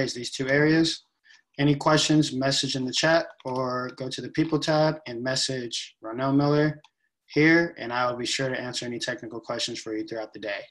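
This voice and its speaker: A young man giving a relaxed step-by-step tutorial, with frequent ums, recorded on a laptop webcam in a room at home. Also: medium pitch, low-quality recording. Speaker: event staff giving a tutorial. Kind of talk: casual screen-share walkthrough.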